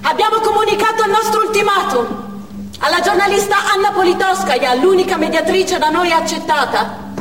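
A woman's voice: very high-pitched, normal speed. An actress playing a stern Chechen woman hostage-taker, narrating in a dramatic stage monologue. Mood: hard, declamatory. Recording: echoey theatre hall, far mic, noisy.

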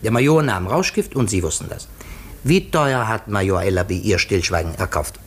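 An actor in a 1950s German radio play playing a shrewd, courteous foreign detective, deliberate and theatrical, dropping in French phrases. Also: male voice, lowish voice, lively intonation. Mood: stern, probing.

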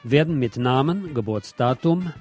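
A man's voice: medium pitch, animated, normal speed, a little mellow, soft background music. A man presenting a radio magazine, reading scripted news reports.

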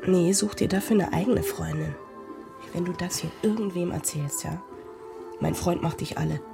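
Creaky, scornful teenage girl. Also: fairly low pitch, moderate pace, over music. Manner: dismissive, teasing. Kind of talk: radio drama acting.

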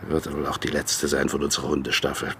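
An old East German dog handler with an extremely deep, forceful voice. He is gruff and wryly nostalgic, letting halting, terse phrases fall without hurry. It is radio drama dialogue with some noise behind it. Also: completely flat delivery. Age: older.

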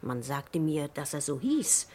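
An actress playing an earnest, uneasy witness in a German radio crime drama, hesitant yet sure of herself. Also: female voice, low-pitched, sing-song, measured pace, a little mellow, exaggerated voice. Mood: earnest, defensive. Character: earnest, uneasy woman witness, a policeman's wife. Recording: faintly noisy vintage radio drama recording.

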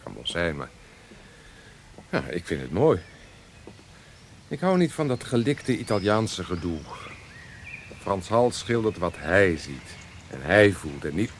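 An actor playing a young well-born gentleman in a Dutch historical radio drama, sociable and frank, chiding a friend. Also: low voice, big pitch swings, takes it slowly, pauses often, slight background noise, heavily acted. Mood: calm, earnest.

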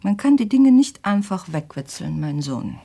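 A middle-aged mother in a radio drama, genteel and easily wounded. Her pitch swings widely and her voice has a slightly husky edge. She speaks theatrically, shifting between coy hesitation and agitation. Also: big pitch swings, moderate pace, dark tone, exaggerated voice. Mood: subdued, hurt. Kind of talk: German radio play acting.

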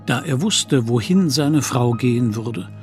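A man with a mid-pitched voice narrates a radio drama. It is scripted literary storytelling, with music clearly playing behind him. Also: animated, a little slow, darkish tone.

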